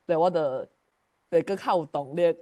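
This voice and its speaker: High-voiced Taiwanese man hosting, dry sound. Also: moderate pace, darkish tone, dry recording. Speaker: forum host. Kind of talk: casual personal sharing while moderating. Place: online meeting.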